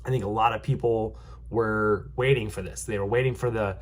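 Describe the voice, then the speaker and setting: A man's voice: on the low side, steady tone, a little fast. A young man with a stuffy nose from a cold, giving a casual market update as a realtor, rambling with lots of ums and restarts. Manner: rambling, many ums and restarts, upbeat reassuring. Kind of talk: casual vlog market commentary.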